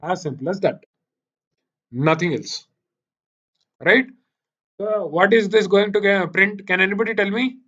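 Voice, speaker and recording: A man's voice: high-pitched, measured pace, pauses often, a bit bright. A man with an Indian accent giving a live online programming lecture, repeating himself and often checking that students follow. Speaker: programming teacher. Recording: dull, lo-fi online meeting audio.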